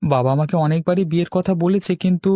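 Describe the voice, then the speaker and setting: A man's voice: a little high, quick pace, mellow, no echo, clean studio sound, voice pushed up, natural voice. A man voicing a polite, hesitant young village man in a cartoon, deferential and unsure. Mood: hesitant, respectful. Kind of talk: Bengali cartoon dubbing.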